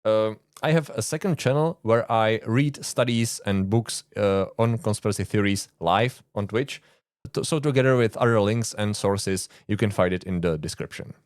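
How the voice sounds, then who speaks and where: Czech-accented man, low dry voice. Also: moderate pace, dry recording. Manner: earnest, informal, with frequent uh fillers and dry asides. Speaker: YouTube host, conspiracy researcher. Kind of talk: explainer monologue to camera.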